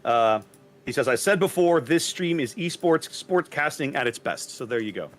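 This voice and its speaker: A man from Texas with a very loud voice, co-casting a game tournament stream in casual chat. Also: on the high side, animated, normal speed, slight background noise. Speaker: co-caster.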